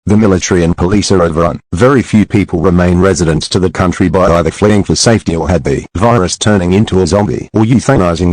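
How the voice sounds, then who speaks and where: Man as emergency broadcast announcer, deep voice, dry sound, solemn, formal, measured scripted delivery. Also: darkish tone, dry recording, rough recording. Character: grave male emergency broadcast announcer delivering final warning. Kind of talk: scripted emergency alert narration.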